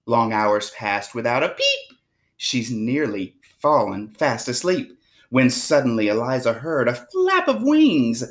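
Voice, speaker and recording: A woman reading a rhyming picture book aloud to children with expressive, dramatic storytelling. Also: a bass voice, unhurried. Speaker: storybook reader.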